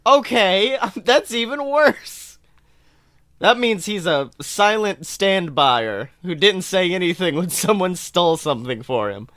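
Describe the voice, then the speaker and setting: A man's voice: high voice, a little slow, no echo, studio quality. A man hosting a podcast, chatting casually and ranting about things in an exasperated, sweary, comic way. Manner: animated, exasperated, sweary complaining. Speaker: podcast host. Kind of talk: casual chat, comic film rant.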